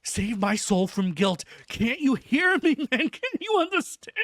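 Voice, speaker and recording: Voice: medium pitch, sing-song, unhurried, fairly bright tone, no echo, voice pushed up. A reader playing a frantic asylum inmate begging. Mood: frantic pleading. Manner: desperate, begging, voice cracking upward.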